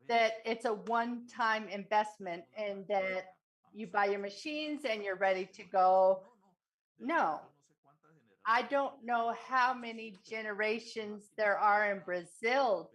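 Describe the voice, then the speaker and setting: A woman interpreting a forum speech, delivering it in measured, halting short phrases. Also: mid-range voice, poor audio.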